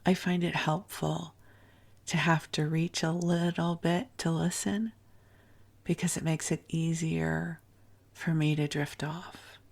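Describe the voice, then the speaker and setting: A woman with a low voice speaks very softly and unhurriedly, as host of a spiritual sleep podcast. Her hushed delivery has a creaky edge and a soothing, rambling flow meant to lull the listener. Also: level tone. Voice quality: soft, hushed, breathy at phrase ends. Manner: deliberately slow, gentle, soothing delivery.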